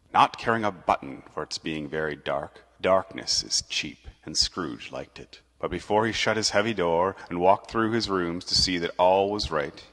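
Deep-voiced, theatrical man narrating a tale. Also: fairly bright tone. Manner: theatrical, measured, emphatic storytelling. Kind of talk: dramatic narrated reading of a classic Christmas tale. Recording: long reverb, music underneath.

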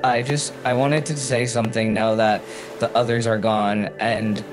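An awkward schoolboy played by a man, mid-pitched and sheepish, speaking haltingly. He is in an animated game roleplay drama with music clearly behind him. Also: natural voice. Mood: apologetic. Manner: hesitant, halting.

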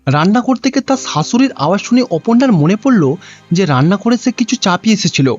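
High-pitched, animated male storyteller. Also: fast talker, highs cut off, faint music behind. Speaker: narrator. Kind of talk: scripted cartoon story narration.

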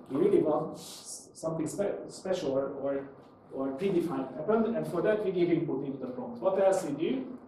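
A man's voice: fairly high pitch, dark tone. A man presenting a live technical lecture, explaining by posing questions and answering them, with non-native English phrasing. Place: small indoor meetup room with seated audience. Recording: echoey room, distant mic, faint noise.

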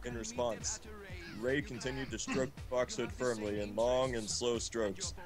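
A man's voice: mid-range voice, fairly even intonation, measured pace, music in the background. A man reading a story aloud in an amateur, informal way on a group livestream. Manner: breaks into laughter and asides. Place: voice chat on a game livestream.

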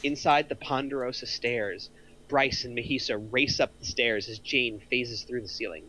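A man's voice: mid-range voice, moderate pace, pushed and bright, low-quality recording. A middle-aged man reading out screenplay action lines as a narrator. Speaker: narrator of a script table read.

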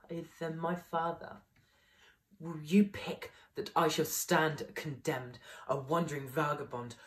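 A man's voice: fairly high pitch, fairly bright tone, pitched below the performer's own voice. A young man playing an aggrieved, banished nobleman, declaiming classical verse as he pleads for his inheritance. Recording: voice picked up from a distance.

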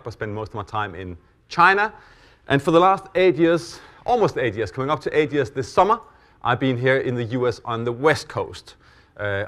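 A middle-aged Danish-accented man hosting a business conference from the stage. He talks in a relaxed, self-deprecating way into a stage mic and fills his pauses with "uh" and "um". His voice sits at a medium pitch for a man. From Denmark. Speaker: conference host and keynote speaker. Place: conference hall.

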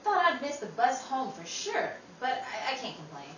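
A woman's voice: sits high, big pitch swings, normal speed, fairly bright tone. A woman playing a sensible, protective working sister in an old-time radio style drama, motherly and emphatic. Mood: weary, practical. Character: Emily, sensible, protective young working sister, firm peacemaker. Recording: roomy, distant mic, noisy.

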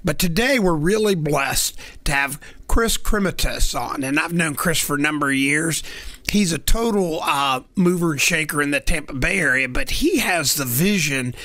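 A man's voice: fairly high pitch, animated, normal speed, sharp tone, dry recording. A man hosting a podcast, chatting in an upbeat, enthusiastic way with his guest. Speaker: host. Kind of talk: casual podcast interview.

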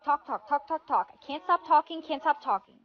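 Woman playing a breezy, high-voiced teen girl. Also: a little fast, muffled recording, natural voice. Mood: chatty. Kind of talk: comedic skit acting.

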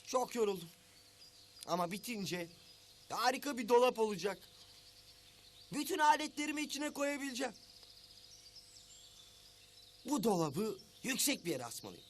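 A man's voice: very high voice, moderate pace, pauses often, slight background noise. A man voicing a busy, flustered animal handyman in a children's cartoon, talking expressively to himself. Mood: busy, pleased. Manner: expressive, talks to self.

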